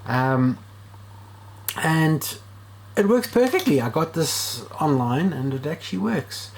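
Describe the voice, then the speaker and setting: A man's voice: a little high, animated, takes it slowly, low-quality recording. A man talking casually and thinking aloud as he does a hands-on repair vlog. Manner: informal, thinking aloud while working. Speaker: video host and radio repair hobbyist. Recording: clear background noise.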